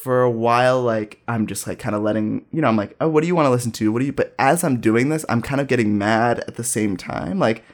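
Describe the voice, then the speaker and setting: A man's voice: mid-range voice, fast, few pauses. A young man from Ohio, telling a rambling personal story in a casual, self-deprecating way. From Dayton, Ohio, US.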